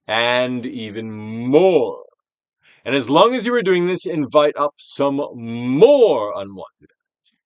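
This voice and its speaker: A man giving a dramatic, Shakespearean-style reading of a self-help book, deliberate and theatrical, with drawn-out emphasis. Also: dry recording. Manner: theatrical Shakespearean delivery, swinging from hushed to booming. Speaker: audiobook reader.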